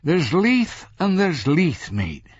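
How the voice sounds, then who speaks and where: A man's voice: a little high, wildly swooping pitch, takes it slowly, breathy edge, no echo, dull audio, pitched above the performer's own voice, exaggerated voice. A man voicing a surly, tired Scottish cab driver in an audiobook, explaining things sarcastically. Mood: exasperated.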